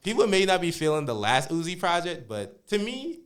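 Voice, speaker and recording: A man's voice: on the high side, moderate pace. A man in his twenties from Minnesota, a guest musician talking casually in slang. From Minnesota, USA. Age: 20s. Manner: animated slang, "bro" and "that part". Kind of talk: casual interview chat.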